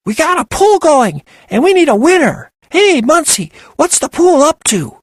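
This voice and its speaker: Squeaky, excitable young woman who blurts everything out, as a man puts her on. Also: normal speed, dry recording, studio quality. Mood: eager. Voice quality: shrill, squeaky. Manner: blurting, eager.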